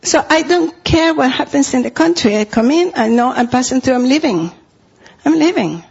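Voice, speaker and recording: A woman's voice: sits high, expressive, normal speed, gravelly, a little mellow, breathy edge, dull audio. A middle-aged woman from Costa Rica with a Spanish accent, preaching a sermon in an earnest way and repeating phrases for emphasis.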